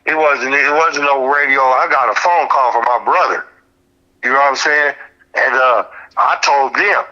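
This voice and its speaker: A man's voice: medium pitch, moderate pace, bright, forceful tone, muffled recording. An American man recalling events in a casual interview, emphatic and repetitive, with plenty of slang. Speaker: podcast guest.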